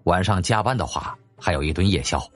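A man's voice: sits low, expressive, fairly quick, a bit bright, breathy edge, soft background music, pitched below the performer's own voice, full character voice. A man voicing an obsequious household steward in an audiobook, respectful and eager to explain. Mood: deferential. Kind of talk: audiobook character acting.

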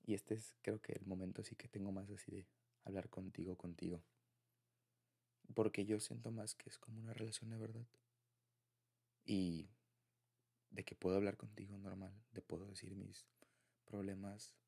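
A man's voice: low voice, little pitch movement, normal speed, creaky, long pauses, warm tone, husky. A man with a Mexican accent speaking in an informal, affectionate, rambling way, as in a personal ASMR boyfriend roleplay.

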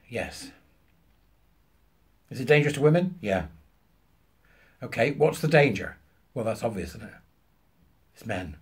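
A British man in his fifties telling an anecdote to camera, emphatically acting out both sides of a conversation in a husky voice. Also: medium pitch. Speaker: vlogger. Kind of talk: animated anecdote told to camera. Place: small furnished room at home, indoors.

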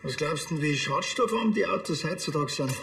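A man's voice: fairly high pitch, animated, fairly quick, vocal fry, a bit bright, a little hiss or hum. An actor playing a wry, grumbling provincial policeman with a Bavarian accent in a TV comedy. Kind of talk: naturalistic TV comedy acting. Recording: echoey room, faint music.

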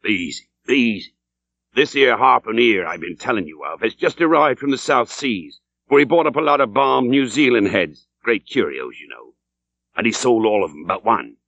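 Guy doing a teasing old innkeeper, rough old sea talk, a bit higher and bouncy. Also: animated, normal speed, a bit bright, dry recording, muffled recording. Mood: sly teasing. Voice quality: rough seafaring dialect drawl. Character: folksy old male innkeeper, sly and teasing.

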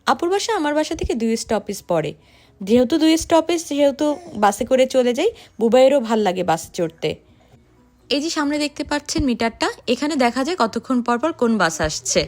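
A woman narrating a family vlog in a chatty voice-over, speaking directly to viewers. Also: high voice.

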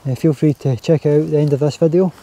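A Scottish man talking casually to his camera while camping on a hilltop, relaxed and chatty. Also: fairly high pitch, quick pace, poor audio. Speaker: outdoor vlogger. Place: outdoors on a windy hilltop campsite. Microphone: handheld camera or mini tripod.

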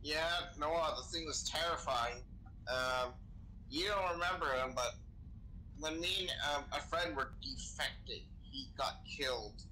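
A man with a slightly high, bright voice talks in character during an online role-play game. He explains things casually and swears freely. There is faint noise on his voice-chat mic. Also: unhurried. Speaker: role-play gamer playing a crew member. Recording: noisy gaming voice chat.

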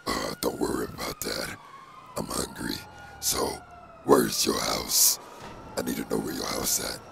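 A man voicing a hungry, greedy alien symbiote creature in a very deep, gravelly, whispery voice through a pitched-down effect, talking playfully and teasingly. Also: huge pitch swings, measured pace, fairly bright tone, a little hiss or hum, full character voice.

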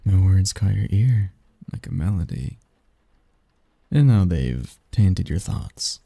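A man voicing a seductive, doting sleep demon lover in an intimate ASMR roleplay, breathy and coaxing, close to the mic. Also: low voice, sing-song, mellow, dry recording, full character voice. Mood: seductive, soothing. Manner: slow, coaxing, teasing, murmured. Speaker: roleplay audio performer. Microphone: close, dry microphone.

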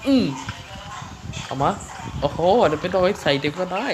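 A woman dubbing an excitable, bumbling male cartoon cat, full of grunts and exclamations. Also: deep voice, normal speed, noisy background. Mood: excited, comic. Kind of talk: improvised comic cartoon dubbing.

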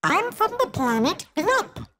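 Friendly young alien girl for a children's storybook, voiced by a woman: high, gently polite, unhurried delivery. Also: big pitch swings, slight background noise, heavily acted.

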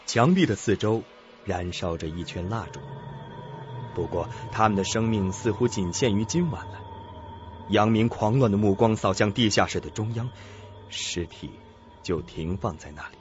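A man's voice: on the low side, moderate pace. A man narrating a scripted suspense radio drama in a dramatic, ominous storytelling manner. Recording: dull lo-fi recording with music bed.

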